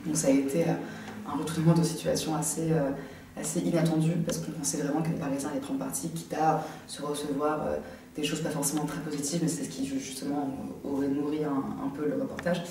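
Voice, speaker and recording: A young woman from France, an art student, answering interview questions casually and haltingly, often pausing to think. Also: low-pitched, flat delivery. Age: young adult. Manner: halting, thinking aloud with many pauses. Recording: very echoey, distant from the mic, faint noise.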